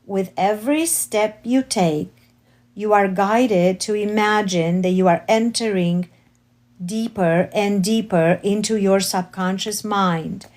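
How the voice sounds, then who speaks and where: A woman with a Romanian accent, not a native English speaker, reading her spiritual memoir aloud slowly and carefully, with occasional self-corrections. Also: medium pitch, darkish tone. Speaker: author reading her book.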